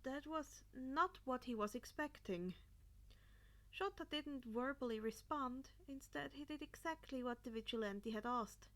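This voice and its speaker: A woman narrates a scripted story. Her voice is fairly high and clearly bright, and she reads at a slow, measured pace. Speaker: narrator, podfic reader.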